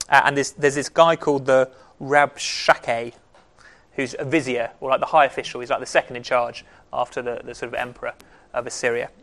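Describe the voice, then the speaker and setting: A man leading a Bible study group, explaining in a relaxed, conversational way with frequent pauses and restarts. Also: medium pitch. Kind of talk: casual teaching talk.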